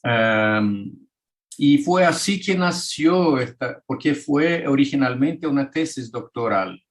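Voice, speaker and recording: A man's voice: medium pitch, lively intonation, slow. A man with a Brazilian Portuguese accent giving an academic talk in a second language, hesitant, with many restarts and fillers, speaking over a video call. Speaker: architect and author presenting his book.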